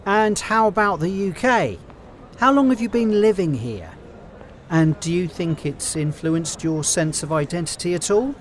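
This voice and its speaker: A man hosting a scripted show, presenting in a bright, upbeat manner. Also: sits high, a little slow, a little hiss or hum. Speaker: show host. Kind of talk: scripted course-book radio show.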